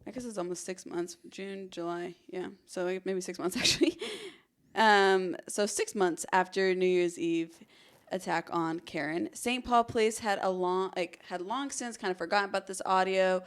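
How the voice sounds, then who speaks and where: A woman's voice: mid-range voice, moderate pace, clear, resonant tone. A young American woman hosting a podcast, telling a true crime story in an informal, conversational way. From Arizona, United States. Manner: informal, chatty. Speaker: podcast host.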